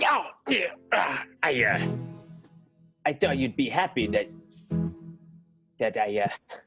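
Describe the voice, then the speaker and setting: An actor playing a sheepish, halting runaway lover in an improvised radio soap opera. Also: male voice, mid-range voice. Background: guitar music.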